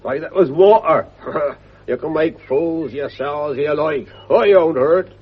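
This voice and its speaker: Slow, high-voiced old English countryman. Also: slightly rough. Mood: ominous warning. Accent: English rural. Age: older. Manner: slow, grumbling rustic talk. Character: superstitious, stubborn old country father, warning of spirits. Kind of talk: 1950s British radio drama acting. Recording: muffled vintage radio recording.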